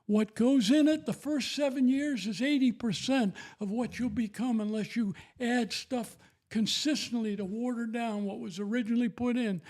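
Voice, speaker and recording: A man's voice: very high-pitched, moderate pace, a little mellow. An older man giving an emphatic, repetitive, preachy testimony about faith and raising children.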